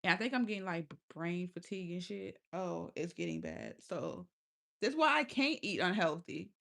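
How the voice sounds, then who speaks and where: A woman's voice: fairly low pitch, sing-song, moderate pace, vocal fry, fairly bright tone. A woman with a lisp, hosting a casual livestream. She chats loosely with her viewers, swears freely and often laughs. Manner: loose, profane and laughing, talks straight to chat. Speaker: livestream host.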